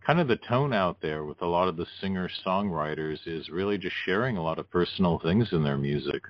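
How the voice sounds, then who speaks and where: Deep-voiced man interviewing, dry sound. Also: expressive, a little fast, no room sound, highs cut off. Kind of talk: conversational music interview.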